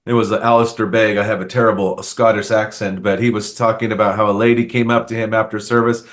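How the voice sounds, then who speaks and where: A man's voice: lowish voice, flat delivery, fast talker. A middle-aged man, a pastor, interviewing a fellow pastor in an earnest, encouraging way, often restarting his sentences. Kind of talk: conversational interview.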